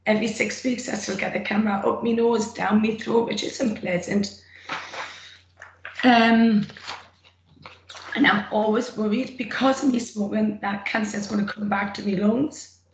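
A middle-aged woman with a rough, gravelly throat, giving an earnest, plain-spoken personal testimony from her notes on a video call. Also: medium pitch, fairly even intonation, normal speed. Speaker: former smoker speaking at a roundtable. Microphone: computer mic on a video call. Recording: echoey room, faint noise.

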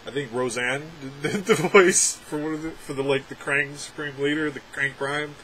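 A young adult man reviewing a film from a room at home, talking sarcastically with animated, exaggerated outbursts. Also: a little high, moderate pace, low-quality recording. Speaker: movie reviewer. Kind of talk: casual ranting film review. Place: home room with packed shelves, indoors. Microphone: webcam framing at desk.

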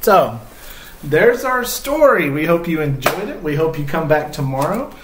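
Lively man hosting a read-aloud, roomy sound. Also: on the high side, measured pace, noisy background. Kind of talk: friendly, casual talk to young viewers. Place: small living room, indoors. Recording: roomy indoor echo.

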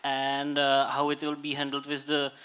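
A man's voice: medium pitch, completely flat delivery, moderate pace, bright, forceful tone, smooth. A man in a conference audience asking an informal question. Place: audience in a large brick-walled conference hall.

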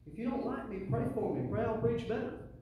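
A man's voice: high-pitched, animated, a little fast, warm tone. Echoey Southern preacher man, middle-aged. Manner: folksy, emphatic, repeats phrases, calls for responses. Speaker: pastor preaching to a church congregation. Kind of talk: sermon. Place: church sanctuary. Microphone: handheld mic through PA, filmed from the pews. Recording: echoey church PA, distant, faint noise.

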